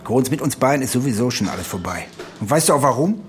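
An actor playing a sardonic, dogged police detective in a radio crime drama, talking in a wry, needling, colloquial way. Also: male voice, mid-range voice, expressive, quick pace, slight background noise, close to an everyday voice. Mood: accusing.

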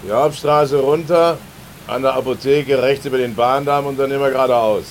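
A medium-pitched male voice of an actor plays a helpful local in a radio play. He is polite and projected, with rain and vehicle noise clearly behind him. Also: steady tone, moderate pace, natural voice. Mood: matter-of-fact. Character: helpful local man giving directions. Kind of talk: German radio play acting.

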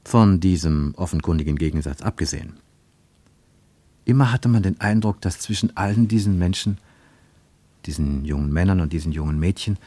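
A man with pronunciation reading literary prose for radio, measured and deliberate, with emphatic phrasing and pauses. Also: sits low, mellow, no room sound. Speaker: narrator, reader of literary prose. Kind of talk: scripted literary narration for German radio.

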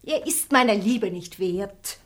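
An actress playing a sharp-tongued, coquettish young maid in a radio play. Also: female voice, mid-range voice, takes it slowly. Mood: pert. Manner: pert, mocking, coaxing.

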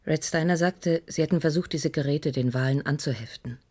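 An actress playing a grave woman in a German radio play, explaining in measured, deliberate dramatic phrases. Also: deep voice, dark tone, breathy edge. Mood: grave, wistful. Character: grave woman explaining a scientist's secret work.

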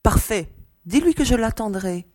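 A woman's voice: fairly high pitch, expressive, normal speed, a little mellow, dry recording, clean studio sound, natural voice. A woman playing a confident, friendly young mercenary agent in a science fiction audio drama. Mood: pleased, brisk. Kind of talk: French audio drama acting.

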